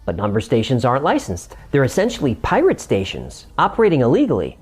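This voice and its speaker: A man's voice: mid-range voice, wildly swooping pitch, quick pace, husky, soft background music. A man hosting a scripted explainer, narrating it in a conversational way with room for comic banter. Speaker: host.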